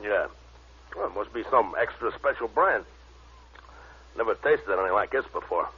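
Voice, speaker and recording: An actor playing a dim, brawny, excitable sidekick with an American accent, blunt and slangy, in an old-time radio drama. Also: male voice, on the low side, moderate pace, husky, exaggerated voice. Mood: eager. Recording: dull, noisy old radio broadcast.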